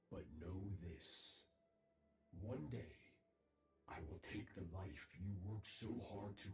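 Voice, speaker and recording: A man voicing a cold, commanding dark warrior master in a video game, solemn and grandiose, with a gravelly voice. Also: lowish voice. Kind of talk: dramatic video game acting. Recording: roomy echo.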